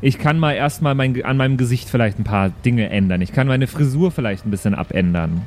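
A man's voice: medium pitch, lively intonation, a little fast, darkish tone. A man chatting casually as a player in an improvised tabletop game.

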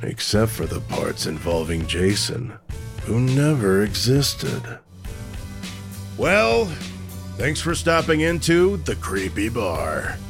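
Wry bartender with lively delivery. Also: male voice, lowish voice, slow, creaky, over music, full character voice. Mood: wry, spooky. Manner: deadpan, casual, wry. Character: wry, folksy bartender who hosts spooky tales. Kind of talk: comedy horror anthology host, radio play.

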